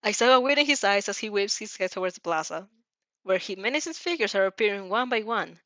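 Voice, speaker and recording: A woman's voice: mid-range voice, animated, fairly quick, a bit bright, dry recording. Dull, dry recording of a woman narrating. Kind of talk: expressive fan fiction read-aloud.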